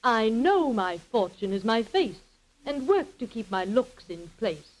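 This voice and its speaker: Vain wicked queen, high imperious voice, theatrical rhymes, old-time radio. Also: very animated, unhurried, rough recording. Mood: indignant. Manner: theatrical rhyming delivery, imperious. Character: vain, haughty wicked fairy-tale queen. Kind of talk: 1930s radio acting in an ad skit.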